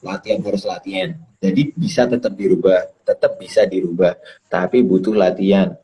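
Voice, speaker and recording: A low-pitched man from East Java talks in a relaxed, teacherly way on a live stream. He explains step by step, repeats himself for emphasis and throws rhetorical questions at his viewers. He is heard through a phone or laptop mic on a video call. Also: normal speed, husky, poor audio. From Kediri, East Java, Indonesia. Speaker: English teacher, guest speaker.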